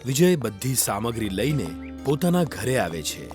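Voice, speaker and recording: Lively male children's story narrator. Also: medium pitch, moderate pace. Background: faint music.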